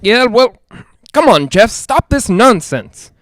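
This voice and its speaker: A man playing an excitable, skeptical reporter in a 1940s-style radio drama, hesitant and stammering when rattled. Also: very high voice, slow pace, no echo, studio quality, full character voice. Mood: brisk narrating. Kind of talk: 1940s radio drama acting, live on-the-scene commentary.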